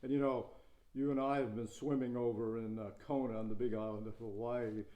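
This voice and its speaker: A man's voice: medium pitch, flat delivery, fairly quick, muffled recording. A man hosting an interview, asking brief, friendly questions in a casual way. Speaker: interview host.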